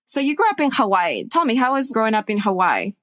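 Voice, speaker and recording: A woman's voice: a little high, huge pitch swings, quick pace, fairly bright tone, no room sound, clean studio sound. A woman hosting a podcast, introducing her guest and reacting with effusive enthusiasm. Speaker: podcast host. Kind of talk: podcast interview.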